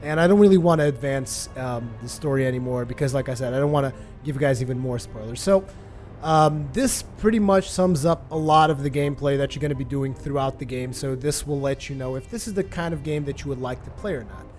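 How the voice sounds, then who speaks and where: A man casually reviewing a video game for his channel, relaxed and rambling, with lots of fillers and restarts. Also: mid-range voice, brisk, soft background music. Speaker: gaming video host.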